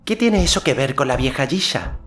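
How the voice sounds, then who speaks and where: A man voicing a kindly, earnest young boy in an audiobook, gentle and solemn. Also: mid-range voice, expressive, brisk, faint music behind, pitched above the performer's own voice, close to an everyday voice. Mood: questioning.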